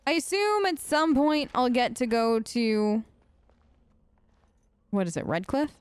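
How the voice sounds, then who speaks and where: A woman's voice: fairly high pitch, big pitch swings, slow pace, clean tone, no echo. A woman giving casual, chatty gameplay commentary, often talking to herself and to the characters as she plays. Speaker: let's play host.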